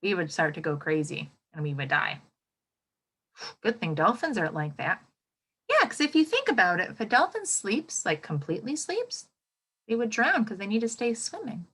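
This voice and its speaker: A woman's voice: medium pitch, very animated, normal speed, fairly bright tone, husky. A woman with an American accent reading aloud to children as a teacher, exclaiming and putting questions to her young listeners. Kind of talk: children's read-aloud lesson with commentary.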